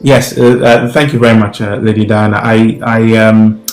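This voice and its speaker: Ghanaian man, young professor, low voice, halting fillers, roomy sound with faint music under it. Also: little pitch movement, darkish tone. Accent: Ghanaian English. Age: young adult. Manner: measured, many fillers and restarts. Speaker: professor and guest. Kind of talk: interview answers.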